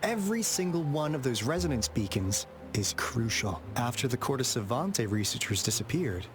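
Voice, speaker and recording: An actor playing a roguish, curious young investigator in a video game, with a theatrical, teasing, breezy manner. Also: male voice, mid-range voice, very animated, normal speed, natural voice. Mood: explaining. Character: roguish, curious young male treasure-hunting investigator, playful and cocky. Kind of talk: animated video game voice acting. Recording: game music under the voice.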